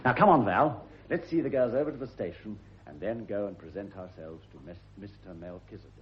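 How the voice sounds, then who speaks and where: An actor playing a flippant, unruffled gentleman adventurer with an English accent and a dry, polite manner in a radio drama. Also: lowish voice, a little fast, natural voice. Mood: assured. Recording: dull, noisy old radio recording.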